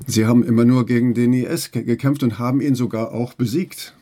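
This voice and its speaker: A male interviewer with a mellow, darker tone asks his questions slowly and carefully. He often pauses on fillers before he goes on. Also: medium pitch, steady tone. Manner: measured, slow, frequent fillers. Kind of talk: radio interview.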